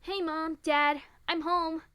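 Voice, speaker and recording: A woman's voice: extremely high pitch, level tone, slow, sharp tone, full character voice. A woman acting in an audio drama as an earnest, dutiful young pony daughter. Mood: cheerful.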